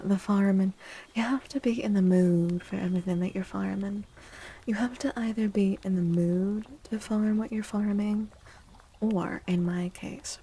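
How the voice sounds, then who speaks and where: Soft breathy lady doing asmr stream chat, mellow and half whispering, takes her time, playful, close on the mic. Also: medium pitch. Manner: playful, unhurried, repeats phrases. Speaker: livestream host.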